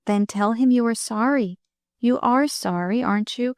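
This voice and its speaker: A woman voicing a young minister's daughter who speaks gently and softly, soothing a sick girl. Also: a little high, moderate pace, no room sound, clean studio sound, voice pushed up, close to an everyday voice.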